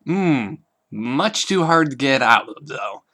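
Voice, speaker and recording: A man's voice: mid-range voice, animated, slow, sharp tone, heavily acted. Man putting on a fussy, refined teenage girl. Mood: fussy, grateful. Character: refined, chatty, affectedly elegant teenage girl.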